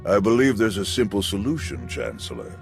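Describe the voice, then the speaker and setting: A dutiful male general in a space game cutscene. His voice is low and varied in pitch, his delivery formal and military. Music plays clearly behind him. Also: huge pitch swings, fairly quick, exaggerated voice. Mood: composed, formal. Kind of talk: video game cutscene acting.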